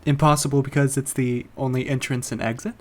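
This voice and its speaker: A man playing an affable, hesitant property buyer in an audio drama, speaking casually and haltingly. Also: medium pitch, level tone, lightly acted. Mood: uneasy. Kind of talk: naturalistic audio drama dialogue.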